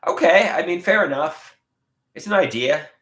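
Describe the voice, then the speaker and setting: A man's voice: a little high, animated, moderate pace, a bit bright. A man in his late thirties chatting casually on a livestream and reading viewer comments aloud, with a stuffed nose. He halts often, repeating words and restarting sentences. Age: 30s. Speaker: livestream host. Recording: echoey room.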